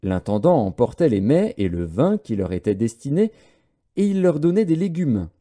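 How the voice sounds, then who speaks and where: Male audiobook narrator for scripture: deep-toned mellow timbre, lively intonation, formal and measured.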